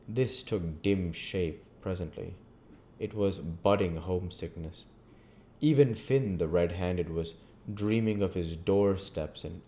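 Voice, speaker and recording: A man's voice: low voice, mellow. A man reading classic fiction aloud as a narrator. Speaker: audiobook reader. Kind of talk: scripted literary narration.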